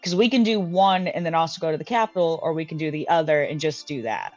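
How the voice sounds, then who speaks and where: A woman with a somewhat low pitch talks casually at a tabletop game, thinking out loud and restarting her sentences. The mic is dull and dry, and soft music plays underneath. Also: fast. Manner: thinks aloud with many restarts and fillers. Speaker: player. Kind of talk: casual tabletop planning chat.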